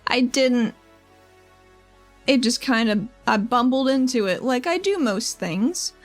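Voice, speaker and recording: Woman playing troubled, earnest heroine, higher voice. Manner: halting, pensive, trailing off. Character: troubled, earnest, resigned young woman regulator. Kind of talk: improvised tabletop roleplay dialogue.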